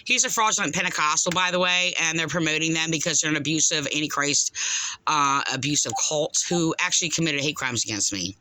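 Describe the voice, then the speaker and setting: Bright-voiced woman, insistent and aggrieved. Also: sits low, slight background noise, rough recording. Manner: aggrieved, insistent, halting and rambling. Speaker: caller making a complaint. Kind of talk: unscripted phone complaint.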